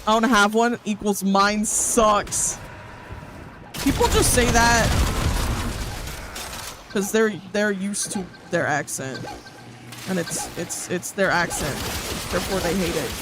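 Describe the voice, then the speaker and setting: A woman's voice: medium pitch, takes it slowly, long pauses, noisy background. A woman streaming as a VTuber, chatting casually and reacting to chat while she plays a game. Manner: animated, reacting to chat, rising to outbursts. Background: game music.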